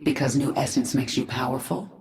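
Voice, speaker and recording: A woman's voice: a bass voice, fairly quick, a little mellow, reverberant. An actress playing a hardened, commanding and brooding alien queen in a video game. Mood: firm, measured. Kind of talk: video game cinematic acting.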